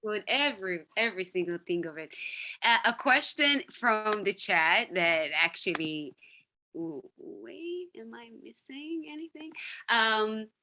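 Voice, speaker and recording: Woman, halting interviewer, bright voice, video call. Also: mid-range voice, lively intonation, slight background noise. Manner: halting, restarts phrases. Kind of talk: interview questions.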